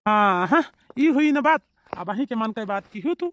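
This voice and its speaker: A woman's voice: on the high side, animated, moderate pace, pitched below the performer's own voice, close to an everyday voice. A woman voicing a greedy, scheming older mother-in-law with an Awadhi accent, who keeps egging her daughter-in-law on. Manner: egging on, keeps asking "you know?".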